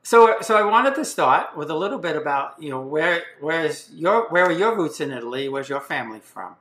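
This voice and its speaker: A man in his seventies hosting an interview, asking questions in a relaxed, chatty way, with plenty of fillers and restarts. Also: on the high side, a little fast, echoey room. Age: 70s. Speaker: podcast host, interviewer. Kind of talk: casual interview.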